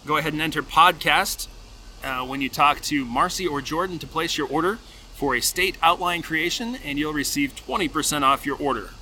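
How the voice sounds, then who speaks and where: A man hosts a podcast in a sharp, very bright voice pitched a little high. He tells lively stories and acts out playful quotes, then shifts easily into sponsor reads. Faint hiss lies under the voice. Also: normal speed, poor audio. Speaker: podcast host. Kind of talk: casual chat with sponsor reads.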